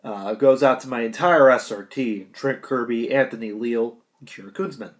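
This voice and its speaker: A man's voice: medium pitch, normal speed. A young American man delivering a prepared graduation speech in an earnest, declamatory manner, with emphatic short phrases. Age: young adult. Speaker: graduating high school student giving a speech.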